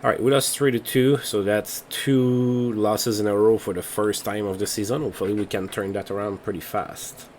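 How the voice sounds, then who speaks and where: Low-voiced man thinking aloud over a game. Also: fairly even intonation, normal speed, few pauses, a little mellow, slight background noise. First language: not English. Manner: thinks aloud while reading game results. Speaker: let's play host. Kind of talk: casual gameplay commentary.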